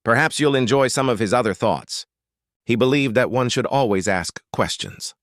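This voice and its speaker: A British man voicing a reclusive, gentle baron who speaks in a quiet, measured, wry and resigned way. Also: medium pitch, dry recording, studio quality, close to an everyday voice. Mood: wry amusement.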